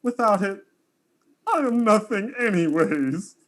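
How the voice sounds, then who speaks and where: A man acting as a self-important male streamer in a comic audio drama. His voice sits high and swoops widely through flowery, melodramatic speeches. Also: animated, slow pace, fairly bright tone, heavily acted. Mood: dramatic pleading.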